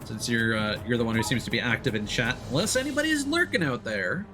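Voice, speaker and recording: A man's voice: medium pitch, sing-song, fast. A man doing casual live gameplay commentary, thinking aloud and chatting to his viewers as he plays. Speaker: game streamer. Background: video game music.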